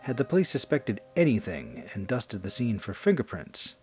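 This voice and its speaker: A man's voice: fairly low pitch, huge pitch swings, normal speed, darkish tone, faint music behind. A man reading a scripted horror story as narrator, with a theatrical, suspenseful delivery.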